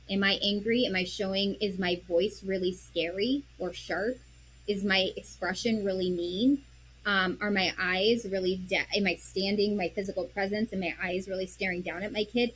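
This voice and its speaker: A woman guest on an interview show, earnestly explaining how parents can respond calmly, often acting out what she would say to her child. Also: mid-range voice, a little hiss or hum, rough recording. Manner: earnest, explains with examples and quoted self-talk; drops to a soft, quiet voice when modeling calm. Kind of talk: conversational interview.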